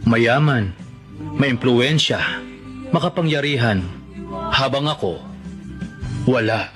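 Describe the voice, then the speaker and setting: A man's voice: medium pitch, slow pace, close to an everyday voice. A man acting a heartbroken, resigned lover narrating his letter in a Tagalog radio drama. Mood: sorrowful, resigned. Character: heartbroken poor lovelorn man, resigned and wistful. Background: music.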